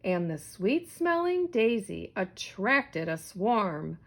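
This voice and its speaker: A woman reading a rhyming children's story aloud in an animated, theatrical storytelling manner. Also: medium pitch, takes it slowly. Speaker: storybook reader.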